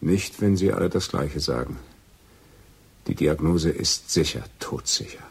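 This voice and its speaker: A deep, heavily husky and breathy male voice. a fatalistic killer in love, bitter and intense, in a naturalistic radio drama. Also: measured pace, full character voice. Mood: bitter, resigned.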